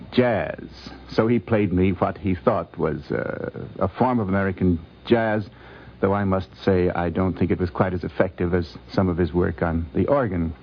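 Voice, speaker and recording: A man giving a conversational, anecdotal recollection in a measured, articulate, gently humorous way. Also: sits low. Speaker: interviewee recalling a visit. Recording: dull vintage 1950s radio recording.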